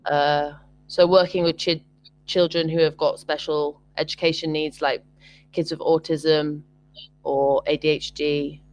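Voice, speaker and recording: Young british woman on a video call, lowish voice, lots of ums and restarts. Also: level tone, unhurried, darkish tone. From Britain. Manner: hesitant, many ums and restarts. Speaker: online English tutor. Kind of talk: casual video call chat.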